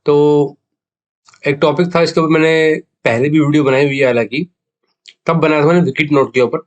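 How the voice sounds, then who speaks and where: A man's voice: on the high side, steady tone, normal speed. A man with an Indian accent and a sore throat gives a casual explainer monologue as a video host, mixing two languages conversationally. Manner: conversational Hindi-English code-mixing, explanatory. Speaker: video host, cricket betting tipster.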